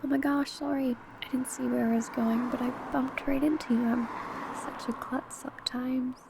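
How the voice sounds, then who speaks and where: A woman playing an obsessive, predatory female vampire who poses as a sweet coworker, in a soft, breathy ASMR roleplay. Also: high voice, fairly even intonation, normal speed, noisy background. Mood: sweetly apologetic. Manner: soft, breathy, whispery murmuring.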